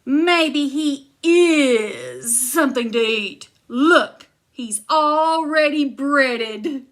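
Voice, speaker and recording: Woman doing a booming grumpy giant. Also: sing-song, extremely slow, fairly bright tone, heavily acted. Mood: grumbling. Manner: booming, complaining. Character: grumpy hungry giant husband, huge and loud.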